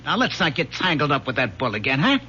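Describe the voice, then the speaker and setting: A man's voice: a little high, sing-song, quick pace, sharp tone. An actor playing an overbearing, sarcastic male police lieutenant in an old radio drama, with emphatic delivery. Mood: sardonic, stern. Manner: sardonic, emphatic. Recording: muffled, hissy old radio recording.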